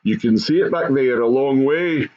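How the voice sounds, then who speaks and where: A man voicing a gruff, practical goblin father, proud of his kind, with a rough edge. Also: medium pitch, moderate pace, darkish tone, highs cut off, natural voice. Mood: practical, bossy.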